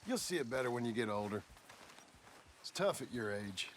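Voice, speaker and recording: An actor playing a gruff but tender frontier rancher father with an American Western accent, a gravelly voice and a folksy, halting delivery, in a video game. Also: medium pitch, animated, slight background noise, lightly acted. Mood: reflective. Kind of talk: naturalistic video game acting.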